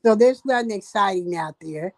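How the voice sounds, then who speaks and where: An older woman from Ohio, the host's mother, chatting as a guest in halting speech full of fillers and restarts. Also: medium pitch, lively intonation, dry recording. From Dayton, Ohio, USA. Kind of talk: casual chat.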